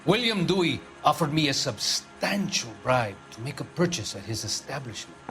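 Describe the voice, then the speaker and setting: Snooty argentine diplomat, latin american accent, entitled game character, medium pitch, music under it. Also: male voice, very animated, moderate pace, pushed and bright. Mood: haughty. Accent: Spanish-speaking Latin American. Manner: pompous, imperious, formal diction. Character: pompous, haughty Argentine consul general, entitled and indignant. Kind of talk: dramatic video game acting. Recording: background music under dialogue.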